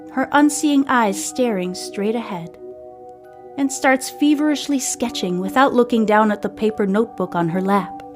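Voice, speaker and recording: Higher-voiced woman narrating over music. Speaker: podcast host and story narrator. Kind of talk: scripted story narration.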